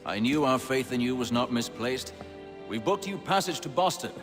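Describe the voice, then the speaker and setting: Game cutscene elder statesman type male actor: authoritative, measured, richly inflected, music prominent behind. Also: mid-range voice, animated, lightly acted. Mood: solemn, assured. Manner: measured, formal, courteous. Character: dignified, authoritative leader of a secret order. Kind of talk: video game cutscene acting.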